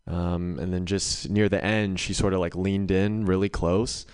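Male stand-up comedian, low clean voice, conversational storyteller. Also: steady tone, a little slow, a little mellow, smooth. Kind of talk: live stand-up comedy set.

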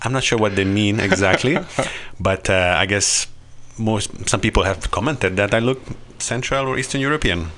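A man's voice: lowish voice, moderate pace, fairly bright tone. Hesitant man in his thirties. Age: 30s. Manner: hesitant, many fillers. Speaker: guest. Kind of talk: casual radio discussion.

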